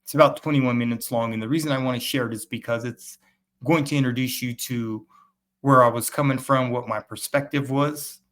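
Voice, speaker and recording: A man's voice: medium pitch, steady tone, a little fast, a bit bright, slight background noise. A man in his fifties hosting a livestream, speaking earnestly and emphatically as he repeatedly addresses the fathers watching. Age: 50s. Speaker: host and fatherhood author. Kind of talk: motivational talk to viewers. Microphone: webcam.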